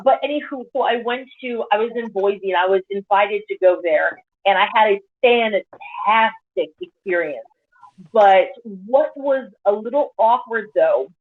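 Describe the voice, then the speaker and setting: A woman pole dance instructor telling stories on a panel, animated with quick asides, heard over a video call. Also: mid-range voice. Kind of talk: casual panel discussion. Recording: tinny phone-like video call audio.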